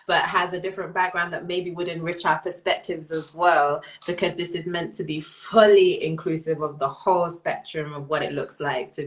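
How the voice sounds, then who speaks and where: A woman hosting a conversation over a video call, reflective and thoughtful, with frequent affirmations. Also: deep voice. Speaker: host. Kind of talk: hosted conversation.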